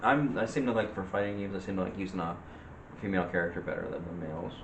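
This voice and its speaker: A man's voice: deep voice, quick pace. A man co-hosting a movie discussion, chatting casually and often searching for his words. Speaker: co-host of a movie discussion show. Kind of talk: casual chat. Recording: roomy echo, faint background noise.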